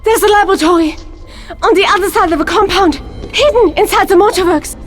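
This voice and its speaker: A woman's voice: very high voice, brisk, faint music behind. A woman playing an urgent, frightened girl guiding rescuers in a video game cutscene.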